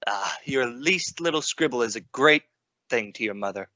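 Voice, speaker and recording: A man's voice: mid-range voice, expressive, moderate pace, sharp tone, no room sound. A man acting a genial, affectionate father and husband in an old-time radio suspense play, jovial and coaxing. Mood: genial, teasing. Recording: slightly dull, muffled audio.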